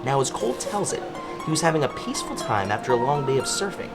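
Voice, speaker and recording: An actor playing a chatty, wry true-crime podcast host, telling a story in a theatrical, folksy way. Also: male voice, medium pitch, sing-song, fast talker, over loud music. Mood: wry, dramatic.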